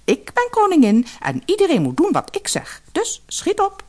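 A woman's voice: extremely high pitch, huge pitch swings, normal speed, a little mellow, pitched above the performer's own voice, heavily acted. A woman voicing a bossy, demanding fisherman's wife turned haughty queen in a fairy-tale audiobook, scolding and emphatic. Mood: angry, commanding.

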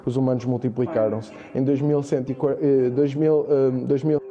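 A man's voice: medium pitch, fairly even intonation, moderate pace, lo-fi sound, close to an everyday voice. A man with a European Portuguese accent playing a chronicler of future wars and revolution in a radio drama. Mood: recounting. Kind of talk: scripted narration in a radio drama.

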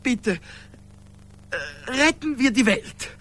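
A desperate everyman in a radio play, his voice high and sharp with wide swings. He pleads haltingly, repeats himself and clings to love and to his humanity. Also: sing-song, exaggerated voice, rough recording. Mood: pleading. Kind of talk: German radio play acting.

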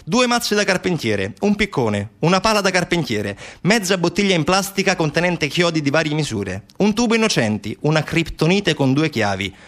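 An actor playing a blustering official in a theatre monologue, pedantic and repetitive, with stammered hesitations and rhetorical questions. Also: male voice, on the high side, very animated, normal speed, few pauses, fairly bright tone. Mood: pedantic listing. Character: blustering male official justifying a police raid.

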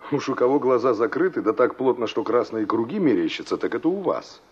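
An actor playing a cocky young carpenter in a Soviet radio play, brusque, teasing and colloquial. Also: male voice, medium pitch, expressive, a little fast. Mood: grumbling, ironic. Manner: mocking, colloquial, gruffly flippant. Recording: dull 1960s radio play recording.

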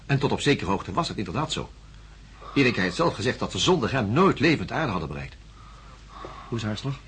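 An actor playing a calm, probing doctor in a radio drama, giving measured questions and instructions. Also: male voice, on the low side, a little hiss or hum. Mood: calm, explaining. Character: calm, probing male doctor supervising a patient.

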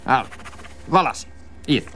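An actor playing a chatty, jovial doctor in a radio drama, speaking with a Flemish accent and coaxing tag questions. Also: male voice, slow pace, sharp tone. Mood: chatty, confiding. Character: chatty, jovial male doctor, fan of crime novels.

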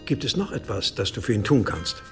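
An actor playing a stern, commanding elderly abbot in a medieval radio drama, admonishing with authority. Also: male voice, on the low side, very animated, brisk, faint music behind. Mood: impatient, guarded. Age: older. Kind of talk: German radio drama acting.

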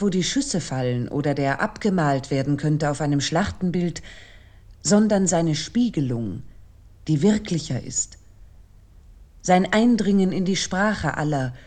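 A woman reading scripted literary commentary for radio in a measured, essayistic manner. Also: lowish voice, warm tone. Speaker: commentator narrator.

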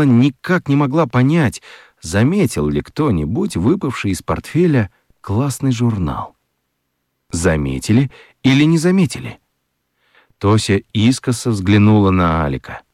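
A male narrator tells a children's story for radio. His low voice moves in lively swings, with dramatic pauses, in a dry, close recording. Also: dry recording.

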